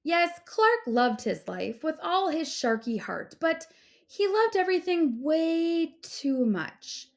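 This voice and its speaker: A woman reading a children's story aloud as an expressive narrator. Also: very high voice, takes it slowly.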